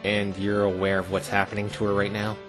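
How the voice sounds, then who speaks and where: A man's voice: on the low side, completely flat delivery, brisk, over music, close to an everyday voice. A man playing a dry, sarcastic, easily exasperated young defense lawyer in a fan-dubbed visual novel. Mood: dry, questioning. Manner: dry, sardonic. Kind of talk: fan-dub visual novel character acting.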